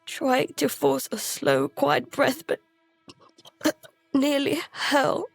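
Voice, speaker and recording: Casting: scared girl narrator for horror audio drama, higher voice, halting, fearful, gravelly fry at the edges. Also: very animated, no echo, soft background music, heavily acted. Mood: panicked. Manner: halting, fragmented, hushed dread breaking into pleading. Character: terrified girl narrating survival of an infected outbreak.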